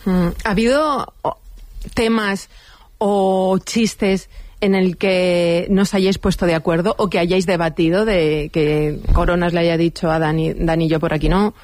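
A woman's voice: on the low side, fairly even intonation, unhurried, darkish tone, no room sound. A woman working as an interviewer, asking questions in a casual, chatty way.

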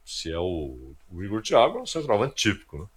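A man with a Brazilian accent giving casual, anecdotal sports commentary in a halting, conversational way. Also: sits low, wildly swooping pitch, slightly rough.

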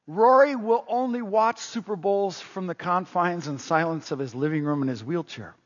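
A middle-aged man preaches with a high, husky voice, talking casually with the congregation and tossing them questions. His voice rings out in a long hall reverb, and the recording sounds dull and muffled. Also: normal speed. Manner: conversational, asks the congregation questions. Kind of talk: sermon.

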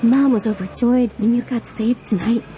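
An actress playing a gentle, encouraging young wife in audio drama dialogue. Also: female voice, on the high side, moderate pace, over music, natural voice. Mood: pleased, gentle.

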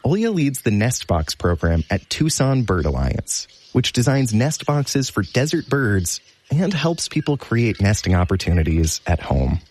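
A man's voice: mid-range voice, very animated, a little slow, a little mellow, slightly rough. A man narrating a short scripted radio segment about birds.

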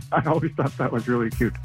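A man's voice: mid-range voice, fairly quick. A man leaving a casual, friendly voicemail. The recording has a phone-line sound, with faint music underneath. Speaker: voicemail caller. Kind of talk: voicemail message.

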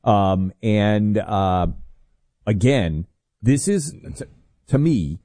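A man's voice: lowish voice, expressive, very slow, dark tone, no echo, clean studio sound. A man co-hosting a political talk radio show, making pointed critical remarks with frequent fillers.

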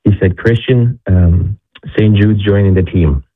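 A man's voice: lowish voice, a little slow. A young man from Ecuador, a guest telling his story in casual talk with many restarts. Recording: tinny phone-like line.

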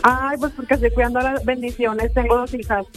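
A woman's voice: a little high, steady tone, fairly quick, music in the background. A woman, calling in to a radio show, easygoing and laughing along. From Monterrey area, Mexico. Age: 20s. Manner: easygoing, laughs at the host's jokes. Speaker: radio caller.

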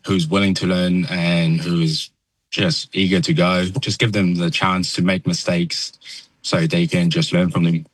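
A young man from New Zealand with a New Zealand accent, a soft-spoken, halting radio interview guest who fills pauses with ums. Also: sits low, level tone, breathy edge, dry recording. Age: young adult. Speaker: guest landscaper.

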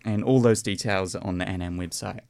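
A young man with a New Zealand accent, a percussion student talking as a guest in a radio interview, hesitant with many pauses and restarts. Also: low-pitched, steady tone, creaky, a little mellow.

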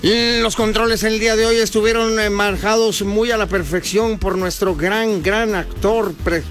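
A man's voice: sits high, steady tone, normal speed, a bit bright, faint music behind. A man with a Mexican accent hosting a radio show with playful, jokey, exclamatory banter.

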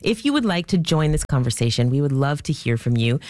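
A Canadian woman hosting a live radio phone-in, talking conversationally to listeners, her voice lowered by a cold. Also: sing-song, a little fast, darkish tone, no room sound, studio quality. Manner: conversational, addresses listeners directly. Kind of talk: hosting a live call-in discussion.

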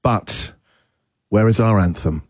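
A man with an Australian accent hosting a breakfast radio show, chatty and excitable, often rising to shouts. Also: on the low side, slow, loud music behind the voice. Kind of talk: lively radio banter. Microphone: studio microphone.

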